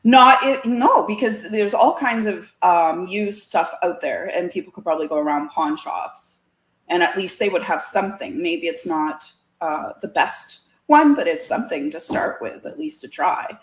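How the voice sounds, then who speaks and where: A woman's voice: fairly low pitch, animated, normal speed. A woman in casual conversation as an interview guest, chatty and folksy, often breaking into laughter. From Canada. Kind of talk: casual chat. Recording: roomy, echoey sound.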